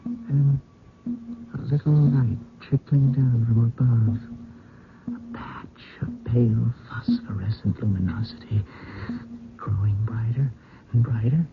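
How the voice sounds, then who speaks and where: A man playing a delirious, haunted husband in an old-time radio drama, speaking in halting, trance-like broken phrases. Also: medium pitch, gravelly. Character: delirious, haunted husband, dazed and dread-filled. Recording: muffled old radio recording with music.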